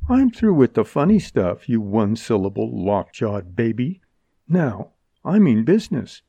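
A man's voice: mid-range voice, very animated, measured pace, gravelly, dark tone, husky, no room sound, lo-fi sound, heavily acted. A man voicing a brassy, defiant young woman who talks in a sneering, sarcastic way in a storybook. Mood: sneering, angry. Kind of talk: dramatized audiobook dialogue.

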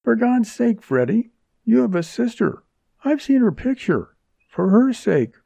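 A man's voice: sits high, lively intonation, a little slow, gravelly, warm tone, dry recording, voice pushed up, heavily acted. A man voicing a fiery, desperate young woman who pleads urgently, in an audiobook. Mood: frantic. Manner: urgent, imploring.

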